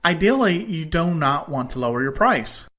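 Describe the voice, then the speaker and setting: Male course narrator, slightly high pitch, crisp forceful brightness, scripted and deliberate instructional read. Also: very animated. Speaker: instructor in a home-selling course.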